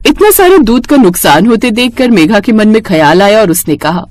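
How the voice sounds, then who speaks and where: Female narrator for animated family tales: mid-range pitch, expressive, scripted storytelling, dry recording. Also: moderate pace, no room sound.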